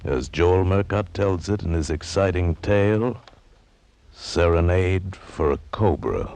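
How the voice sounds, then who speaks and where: Very deep vintage radio announcer man. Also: measured pace, gravelly, noisy background. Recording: old radio broadcast, music behind.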